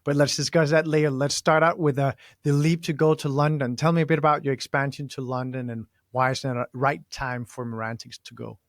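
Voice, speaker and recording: A man hosting a podcast, reading a prepared introduction and then asking his guest questions in a deliberate, articulate way. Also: medium pitch, flat delivery, dry recording, clean studio sound. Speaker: podcast host.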